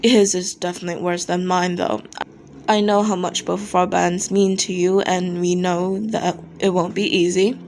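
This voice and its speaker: A woman's voice: fairly low pitch, little pitch movement, normal speed, darkish tone, close to an everyday voice. Brash male rock frontman played by a woman, informal, blunt and apologetic, noisy fan fiction recording. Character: brash, foul-mouthed, sheepish male rock band singer. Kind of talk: acted dialogue in fan fiction reading. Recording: noticeable background noise.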